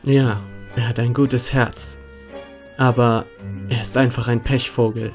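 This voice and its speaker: A man playing a sincere, plain-spoken young defence lawyer in an animated courtroom drama. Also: medium pitch, measured pace, natural voice. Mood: weary loyalty. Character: earnest young male defence lawyer, loyal. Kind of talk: animated courtroom fan dub acting. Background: background music.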